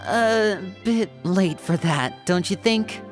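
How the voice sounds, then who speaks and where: A woman voicing an easygoing young adventurer in a video game, casual and gently teasing. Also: mid-range voice, slow pace, faint music behind, natural voice. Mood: wry. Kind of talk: scripted video game dialogue.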